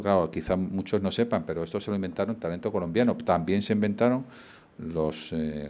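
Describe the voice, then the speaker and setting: A man's voice: deep voice, mellow. A middle-aged man giving a rambling speech at an event, with many fillers and restarts. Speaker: law firm partner giving a speech.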